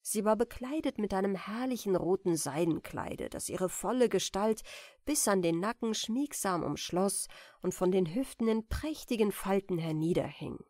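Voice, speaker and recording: A woman softly and deliberately narrating a fairy tale as a bedtime audiobook. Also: medium pitch, no room sound, studio quality. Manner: soft, deliberate, expressive. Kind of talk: gentle bedtime story reading.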